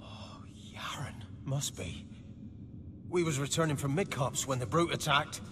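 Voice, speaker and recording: An actor playing a humble young peasant in a video game, speaking in a hesitant, rustic, stammering way. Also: male voice, fairly high pitch, a little slow. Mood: shaken. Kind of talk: dramatic video game acting. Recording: long reverb, faint ambient noise.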